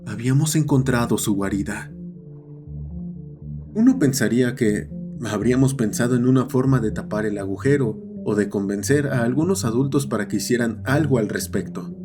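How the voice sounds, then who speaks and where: A man's voice: mid-range voice, normal speed, music in the background. A man narrating a scripted horror story. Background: ambient sound effects.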